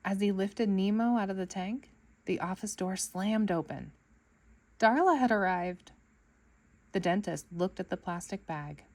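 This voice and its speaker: A woman storyteller reading a children's book aloud in an expressive narrating style for kids. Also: mid-range voice, measured pace.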